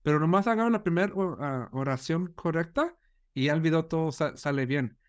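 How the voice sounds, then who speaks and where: Higher-voiced man. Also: brisk. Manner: mixes English filler words into Spanish. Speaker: guest video creator. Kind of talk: casual panel chat.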